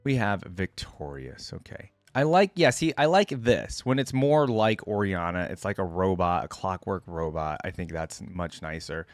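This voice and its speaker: Male reaction host, mid-pitched and animated, informal and unscripted, thinking aloud on a dry recording. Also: moderate pace, hardly any pauses, no echo. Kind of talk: casual off-the-cuff commentary on game skins.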